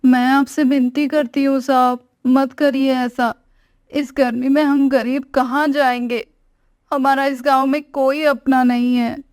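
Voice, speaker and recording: A woman's voice: high voice, flat delivery, unhurried, darkish tone, dry recording, clean studio sound. A woman voicing a poor, worried young village wife, polite and plaintive, in a cartoon moral story. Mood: pleading.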